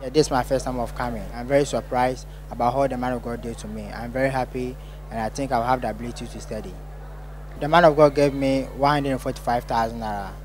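A man's voice: medium pitch, fairly even intonation, quick pace, a little hiss or hum, poor audio. A young man with a Ghanaian accent gives a plain testimony in an interview as a student. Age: young adult.

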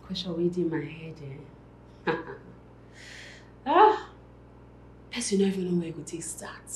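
A woman's voice: lowish voice, big pitch swings, unhurried, a little mellow. A Nigerian woman playing a brash, vain, money-hungry young woman in a comic monologue, with sassy, exclamatory delivery. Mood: cheeky. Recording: echoey room, background noise.

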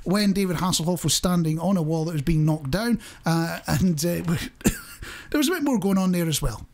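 A man's voice: on the high side, expressive, normal speed. A middle-aged man hosting a radio show with casual, jokey chat, speaking into a studio microphone. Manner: jokey, chuckles often. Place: small indoor radio studio. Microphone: studio microphone on boom arm.

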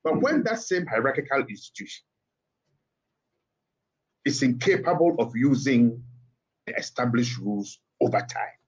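A middle-aged man with a Ghanaian accent, a guest speaking by phone in a TV interview, measured and emphatic with pointed rhetorical questions. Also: mid-range voice, expressive, slightly rough, poor audio. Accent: Ghanaian English. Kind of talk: TV interview commentary. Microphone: phone line.

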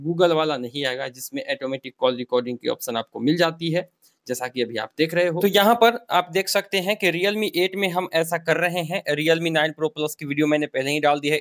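A man talks viewers through a tech procedure step by step. His voice sits a little high for a man. The delivery is informal and explanatory, and the sound is very dry, with no room echo. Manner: explanatory, addresses viewers directly. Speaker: tech tutorial host. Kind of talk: informal step-by-step tutorial voice-over.

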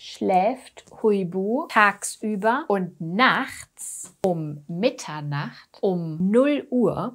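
A young woman from Germany teaching with slow, simplified storytelling for language learners, using simple phrases, pauses and emphasis on key words. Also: medium pitch. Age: young adult. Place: small attic room indoors. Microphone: lapel mic.

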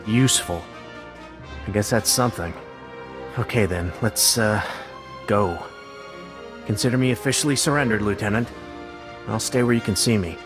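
An actor plays a subdued male defector with a fairly low voice. He sounds resigned and hesitant, a game soldier giving himself up, with clear music behind. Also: moderate pace, pauses often, close to an everyday voice. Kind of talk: video game voice acting.

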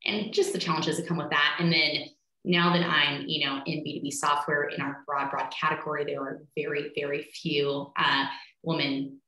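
A woman's voice: sits low, level tone, normal speed, pushed and bright. A woman in her thirties from Switzerland, a startup founder talking fluently and matter-of-factly on a webinar panel over a video call. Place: home room, indoors. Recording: echoey room on a video call.

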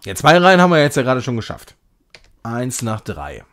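A man's voice: medium pitch, huge pitch swings, brisk, fairly bright tone. A man giving casual live game commentary as a streamer, thinking aloud in short muttered phrases. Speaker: streamer playing a retro puzzle game.

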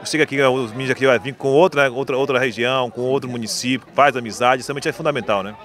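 Man in his 30s, radio reporter, rattles along very fast, tag questions. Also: mid-range voice, steady tone, a bit bright, soft background music. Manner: rambling, leans on tag questions. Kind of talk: outdoor interview. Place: stadium stands outdoors. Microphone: handheld mic.

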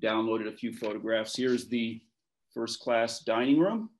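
A man's voice: mid-range voice, measured pace. A man in his fifties with an American accent, chatting casually as an interview guest on a video call. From born in France to American parents, raised between Europe, Washington and West Africa. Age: 50s. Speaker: interview guest, maritime professional. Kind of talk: casual reminiscing in a video conversation. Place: wood-beamed room at home, indoors.

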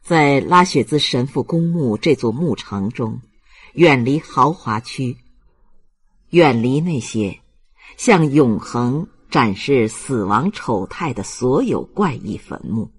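Male audiobook narrator, mid-pitched and slightly husky, with solemn, very varied intonation. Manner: solemn, measured, expressive. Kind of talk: scripted literary narration.

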